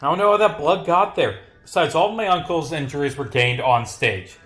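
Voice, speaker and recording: A man's voice: fairly high pitch, moderate pace, fairly bright tone, soft background music, lightly acted. A man voicing a defensive, evasive young male stage performer from a visual novel, protesting under questioning.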